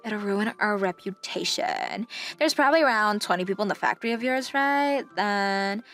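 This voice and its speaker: A woman's voice: medium pitch, lively intonation, normal speed, a bit bright, faint music behind. A woman playing a condescending young female travel agent in a manga dub, with a phony, mock-polite manner. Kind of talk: English manga dub acting.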